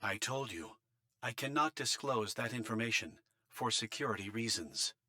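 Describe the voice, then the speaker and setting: An actor voicing a cold, smug official in a game cutscene, speaking formally and with condescension. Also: male voice, lowish voice, animated, normal speed, bright, forceful tone. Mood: coolly dismissive. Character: cold, smug male official behind a sealed shelter. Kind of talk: scripted game cutscene acting. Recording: music behind the voice. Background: background music.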